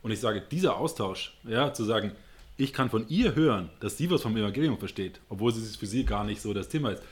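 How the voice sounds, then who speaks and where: Man, northern German accent, lowish pitch, earnest, reflective, conversational, searching for words. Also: expressive, fast talker. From Hamburg, northern Germany. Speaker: interview guest. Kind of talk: reflective conversational interview answers.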